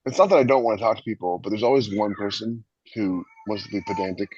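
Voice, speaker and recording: Male co-host, rapid and raspy, slightly lo-fi, casual chat. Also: lowish voice, a little mellow, no echo. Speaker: podcast co-host and author.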